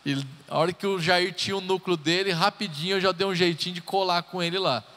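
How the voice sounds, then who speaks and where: Pastor, man in his thirties, relaxed and joking from the pulpit; slightly high voice, live hall reverb. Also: quick pace. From Brazil. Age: 30s. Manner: chatty and joking, talks straight to the congregation. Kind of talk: sermon introduction, personal stories. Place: church hall.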